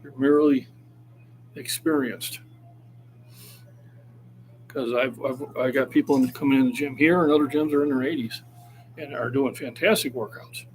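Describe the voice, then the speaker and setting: A man's voice: mid-range voice, fairly even intonation, normal speed, long pauses, slight background noise, low-quality recording. A man in his sixties, a fitness coach talking casually on a livestream and urging viewers to keep active. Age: 60s. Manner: encouraging, motivational.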